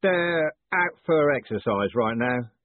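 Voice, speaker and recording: A man's voice: medium pitch, lively intonation, slow, no echo, voice pushed up. A man voicing a sardonic tinkerer in an audiobook, blunt and dry with a teasing edge. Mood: brisk, blunt. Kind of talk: audiobook character voice. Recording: narrow phone-like band.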